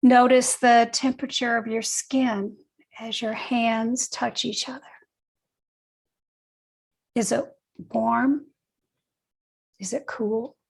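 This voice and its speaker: Slow, creaky-voiced woman guiding meditation. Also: fairly high pitch, level tone. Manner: gentle, unhurried with long pauses. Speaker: guided meditation teacher, nurse and educator. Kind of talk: guided meditation.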